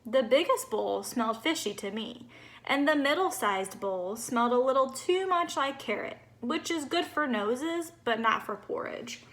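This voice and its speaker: Little snowman read by a woman, high voice, bubbly, innocent, cheerful storybook narrator. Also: lively intonation, measured pace, a bit bright. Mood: curious, upbeat. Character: cheerful, naive little male snowman telling his story.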